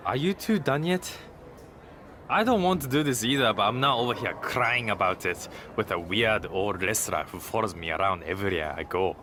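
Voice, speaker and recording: A man's voice: fairly low pitch, normal speed, noisy background, natural voice. A man playing a cocky, snide young wrestler and social media show-off in game cutscene dialogue. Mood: impatient, grudging.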